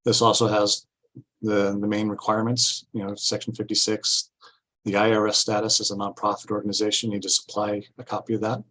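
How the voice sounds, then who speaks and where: A man giving an informational presentation at a public meeting, explaining things step by step in a halting way. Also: on the low side, monotone, a bit bright, low-quality recording. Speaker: city staff presenter at a grant orientation.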